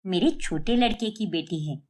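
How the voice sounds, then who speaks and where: A woman's voice: lowish voice, lively intonation, moderate pace, darkish tone, voice pushed down. A woman voicing an agreeable elderly grandmother in a cartoon family story, conciliatory in manner.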